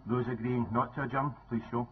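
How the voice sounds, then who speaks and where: Man announcing a council vote, quick and low, tinny audio. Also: level tone. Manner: brisk, with small restarts. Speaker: council official running a vote. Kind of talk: formal procedural announcement. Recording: tinny phone-like sound, faint noise.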